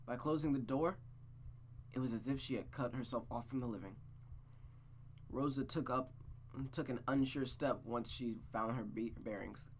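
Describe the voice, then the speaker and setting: Low-key suspense narrator, man over background noise. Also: normal speed, long pauses. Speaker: streamer reading a visual novel aloud. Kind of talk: dramatic storybook narration with casual asides.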